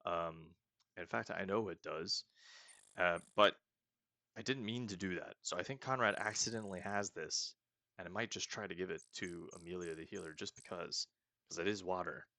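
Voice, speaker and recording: A man hosting a casual let's play, reading game text aloud and thinking out loud as he goes. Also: low-pitched, normal speed, no room sound, studio quality. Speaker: gaming host.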